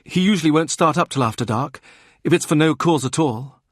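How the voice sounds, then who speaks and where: A man voicing a sharp-tongued young woman who answers with cutting sarcasm. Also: on the high side, fairly quick, dry recording, studio quality, voice pushed up, natural voice. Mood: tart.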